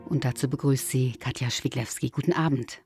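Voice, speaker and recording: A woman with a low, husky voice presenting a radio drama with a scripted introduction. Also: steady tone, a little fast, over loud music.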